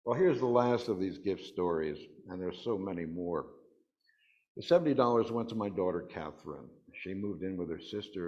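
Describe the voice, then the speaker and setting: A man reading personal stories aloud at a worship service, in a dull, slightly muffled recording. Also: deep voice.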